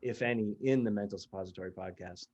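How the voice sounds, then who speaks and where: A man's voice: on the low side, fast, a little mellow, muffled recording. A man hosting a casual interview, speaking in a jokey, tongue-in-cheek, teasing way. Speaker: podcast interviewer and host.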